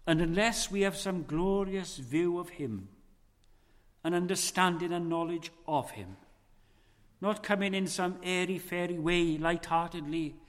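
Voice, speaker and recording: A man preaching a sermon, slow and weighty, his voice dropping low and quiet for emphasis. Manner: slow, weighty preaching with dramatic drops in volume. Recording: long hall reverb.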